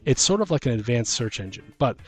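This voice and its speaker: A man's voice: medium pitch, big pitch swings, a little fast, darkish tone. A man in a casual podcast discussion, talking informally and arguing his point through hypothetical examples.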